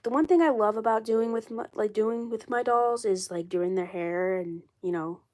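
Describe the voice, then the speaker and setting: A woman in her mid-twenties talks casually on a phone livestream, her voice froggy and hoarse from a cold. She rambles, circles back and repeats herself, sometimes a little defensive. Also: fairly high pitch, moderate pace, darkish tone. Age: 20s. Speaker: doll collector livestreaming.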